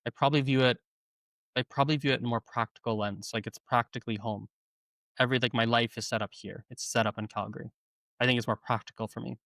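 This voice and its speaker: A man's voice: mid-range voice, fairly even intonation, fast, gravelly, fairly bright tone, no echo. Easygoing male podcast guest. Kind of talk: casual interview chat.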